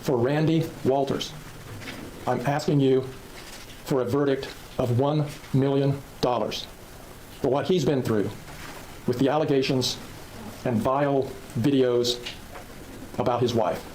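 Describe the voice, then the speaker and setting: A man, a lawyer, giving a formal and measured closing argument to a jury in court. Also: medium pitch, fairly even intonation, darkish tone, slight background noise, low-quality recording. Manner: measured and formal, listing demands.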